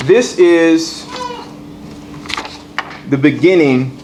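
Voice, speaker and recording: A man's voice: a little high, drawn-out words, background noise. A man teaching a congregation in a temple hall, repeating key points and checking in with "all right". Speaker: religious teacher.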